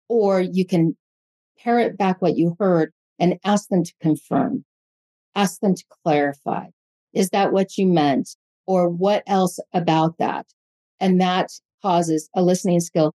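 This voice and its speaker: Casting: middle-aged woman coach, lowish warm mellow voice, measured and explanatory. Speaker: parenting coach, guest. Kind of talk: casual conversation.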